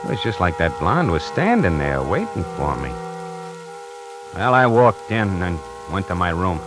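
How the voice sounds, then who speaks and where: A man's voice: low voice, very animated, measured pace. A brash New salesman. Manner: colloquial, wry storyteller. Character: streetwise male salesman narrating, brash. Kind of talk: 1940s radio acting. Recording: vintage 1940s radio broadcast with music.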